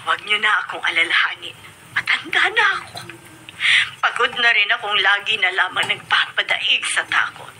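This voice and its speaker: A woman playing a weary, self-sacrificing older housekeeper in a Filipino radio drama, speaking gravely. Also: medium pitch, animated, moderate pace, natural voice. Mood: resigned urgency. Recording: noisy radio drama recording.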